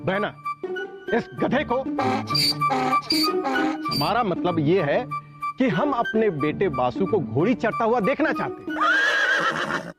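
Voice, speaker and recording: A man's voice: high-pitched, wildly swooping pitch, slow pace, long pauses, exaggerated voice. An actor playing a pompous, disapproving father in a TV sitcom, formal and blustering. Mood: indignant. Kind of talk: broad TV sitcom acting. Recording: loud music over the dialogue.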